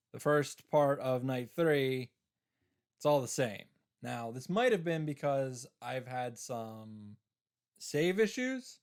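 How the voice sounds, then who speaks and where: A man's voice: medium pitch, clear, resonant tone. A man giving casual commentary on a game playthrough, with wry, self-interrupting asides.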